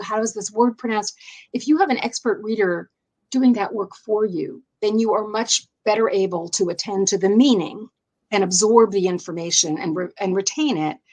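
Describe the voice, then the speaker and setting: A woman's voice: mid-range voice, a little mellow, low-quality recording. A woman with an American accent talking as an interview guest, explaining things thoughtfully and rephrasing as she goes. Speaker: interview guest, education writer.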